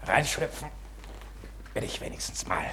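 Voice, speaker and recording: An actor playing a dignified, formal Prussian army captain, terse and restrained, in an old German radio play. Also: male voice, on the low side, big pitch swings, a little slow, gravelly, heavily acted. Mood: brisk, pleased. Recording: faint hiss of old radio recording.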